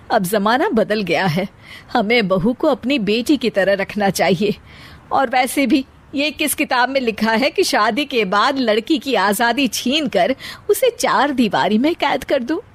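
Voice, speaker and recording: Woman playing a high-voiced, sensible mother-in-law. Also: a little slow. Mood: reassuring. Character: kindly, sensible middle-aged mother-in-law and elder sister. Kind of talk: animated moral story acting.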